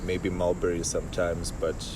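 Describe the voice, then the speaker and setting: A very low-pitched young man from Zimbabwe chats easily about his work as a winemaker. He talks in an unhurried, reflective way, often murmuring agreement. He is recorded outdoors, with a clear steady hum of background noise. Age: young adult. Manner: relaxed, reflective, frequent affirming "yeah". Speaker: winemaker guest. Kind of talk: casual interview chat. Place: outdoors under a large tree.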